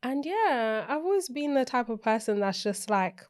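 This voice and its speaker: A woman from Portugal, a guest co-founder, speaking in a thoughtful, measured way. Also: fairly high pitch, sing-song, a little mellow. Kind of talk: podcast interview.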